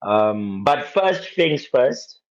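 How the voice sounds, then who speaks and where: A man's voice: medium pitch, big pitch swings, drawn-out words, dull audio. A man who grew up in Zimbabwe, a guest on a podcast, chatting casually and teasing the hosts. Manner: joking, playful teasing. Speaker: guest musician.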